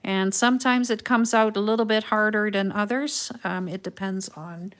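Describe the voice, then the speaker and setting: A middle-aged woman from the Netherlands with a Dutch accent, hosting a live craft tutorial and chatting with viewers in an unhurried, musing way with frequent ums. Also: medium pitch, a bit bright, slight background noise. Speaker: craft livestream host.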